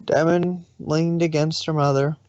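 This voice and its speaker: A woman narrating fan fiction as an expressive story reading. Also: sits low, unhurried, no echo. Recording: slightly dull home recording.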